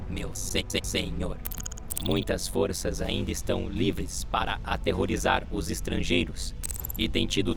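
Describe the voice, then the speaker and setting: A man playing a hesitant, deferential military logistics aide with a gravelly voice in a sci-fi audio drama. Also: low-pitched, heavily acted. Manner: halting, deferential, formal. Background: music.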